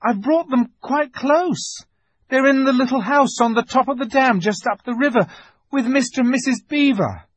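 A young storybook boy speaks far above a grown man's pitch. He is timid and trembling, anxiously polite and eager to please. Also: big pitch swings, unhurried, dark tone, breathy edge, no room sound, exaggerated voice. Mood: anxious pleading. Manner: trembling, stammering, deferential. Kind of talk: audiobook character voice.

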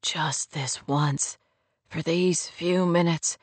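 A woman voicing a lovesick man in a romance audiobook, anguished and tender, in a raw, hushed, halting murmur. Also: low voice, steady tone, no room sound, pitched below the performer's own voice. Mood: pleading, tender. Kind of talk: romance audiobook character dialogue.

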